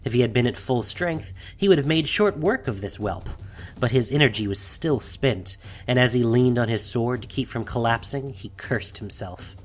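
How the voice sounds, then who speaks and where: A man's voice: mid-range voice, expressive, moderate pace. A man narrating a fantasy audio drama from a script in a dramatic storytelling style.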